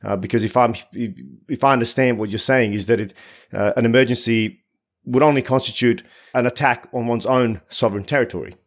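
A man's voice: mid-range voice, fairly quick. A man hosting a podcast interview, framing his questions hesitantly with many filler sounds and restarts. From Bosnia. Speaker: podcast host.

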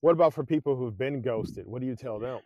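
A man hosting a casual podcast, rambling and laughing easily as he asks his guest questions. His voice sits in an ordinary middle pitch, recorded dry and close with no room sound. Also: fast talker, mellow, dry recording. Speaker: podcast host. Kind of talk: casual chat.

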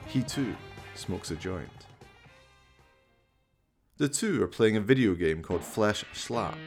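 A man's voice: on the low side, lively intonation, takes it slowly. A man giving theatrical, wry scripted narration for a horror radio play. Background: music.

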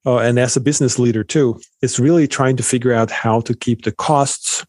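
A man's voice: medium pitch, fairly even intonation, brisk, a little mellow, no room sound, studio quality. A middle-aged man from Sweden, a professor answering interview questions thoughtfully, with many fillers and restarts. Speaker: economics professor guest.

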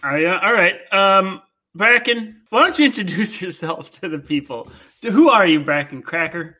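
A man's voice: sits high, animated, normal speed, bright, forceful tone. A man in his thirties with a Midwestern American accent, interviewing his co-host in a casual podcast, rambling with many fillers and restarts. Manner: rambling, hesitant, filler-heavy.

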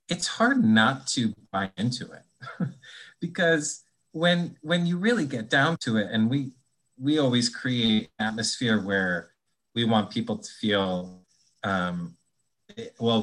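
A man of ordinary middle pitch speaks as a podcast guest. He explains at length in a thoughtful, conversational way, often restarting his sentences as he works out his point. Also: a bit bright, poor audio. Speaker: guest, anti-bias trainer.

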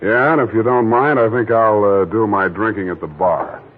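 A man's voice: on the low side, normal speed, natural voice. A man playing a wisecracking hard-boiled detective. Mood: sardonic. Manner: dry, sardonic. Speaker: narrator and lead. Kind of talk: 1940s radio acting. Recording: tinny old radio broadcast.

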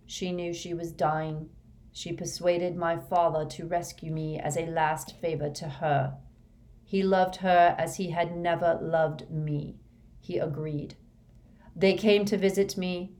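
A woman voicing a dazed younger male villain in an audiobook, confessing in a flat, expressionless way. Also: on the low side, close to an everyday voice. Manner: flat, expressionless, drugged monotone. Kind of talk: audiobook character voice.

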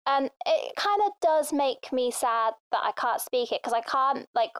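A woman's voice: high-pitched, animated, unhurried, clear, resonant tone, no echo, clean studio sound. A young woman from England being interviewed, talking casually and chattily with many fillers. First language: Thai. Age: young adult. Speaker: interview guest.

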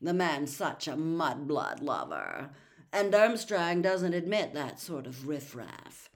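A drawling, snobbish teenage boy sneers his way through a storybook scene, as a woman narrator puts him on. His voice sits low and slides around lazily. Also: sing-song, slow pace, full character voice. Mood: sneering.

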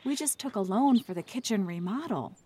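A woman's voice: medium pitch, expressive, brisk, mellow, breathy edge. A woman playing a puzzled, polite but protesting wife and mother in an audio play. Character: bewildered, ordinary American wife and mother.

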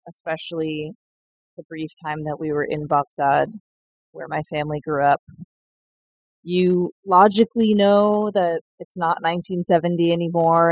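A young woman sharing a slow, heartfelt personal reflection in a recorded message. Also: low voice, no room sound, low-quality recording. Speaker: seed collective member.

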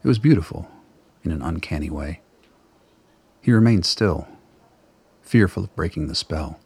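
A man narrating a radio play in a measured, dramatic, literary storytelling style. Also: sits low, lively intonation, darkish tone, husky.

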